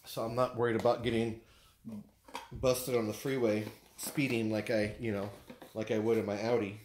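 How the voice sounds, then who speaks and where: A man with an American accent chatting casually and haltingly, thinking aloud as he shows off purchases in a living room. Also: lowish voice, little pitch movement, darkish tone, slight background noise. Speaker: vlogger showing purchases. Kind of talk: casual unboxing chat.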